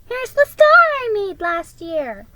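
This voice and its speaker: Woman playing little storybook boy, very high pitch, loud, excitable, eager exclamations. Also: takes it slowly, heavily acted. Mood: excited, eager.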